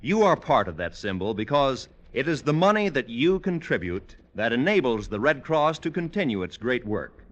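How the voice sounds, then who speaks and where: A man's voice: medium pitch, very animated, moderate pace, hardly any pauses, muffled recording. A man with an American accent announcing in a solemn, declamatory old-time radio style. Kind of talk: 1940s-50s radio announcing.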